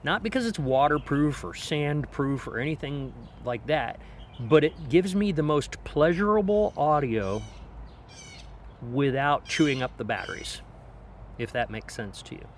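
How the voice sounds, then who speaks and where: A man's voice: a little high, expressive, a little slow. Casual man reviewing gear, noisy outdoor recording. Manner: informal, explanatory. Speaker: product reviewer. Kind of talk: casual hands-on gadget review. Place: outdoors on a back deck. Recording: outdoor background noise.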